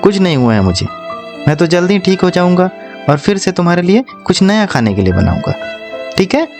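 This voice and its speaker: Woman as gentle village father, animated children's story, lowish voice with very lively pitch, reassuring manner, light music behind. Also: brisk. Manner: gentle, soothing, indulgent.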